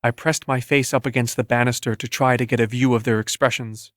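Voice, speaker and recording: A man's voice: medium pitch, steady tone, brisk, fairly bright tone, dry recording. Male narrator for literary first-person audiobook fiction, recorded dry and close.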